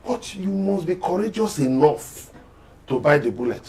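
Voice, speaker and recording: A man's voice: a little high, animated, moderate pace, dark tone. A man with a Nigerian accent speaking as a panel guest in a political discussion, emphatic and urging in manner. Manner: emphatic, exhortative.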